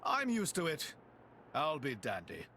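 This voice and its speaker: A man's voice: a little high, wildly swooping pitch, measured pace, bright, forceful tone, slight background noise, exaggerated voice. A man voicing a plucky young guide in a video game cutscene. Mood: nonchalant. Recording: clear game music behind voice.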